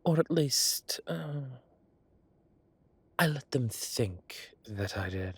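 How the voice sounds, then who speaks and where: A man playing an ancient, teasing godlike being with a soft romantic streak, in a theatrical, drawling and indulgent manner for a roleplay audio drama. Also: medium pitch, lively intonation, slow pace, husky, full character voice. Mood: wistful, smug.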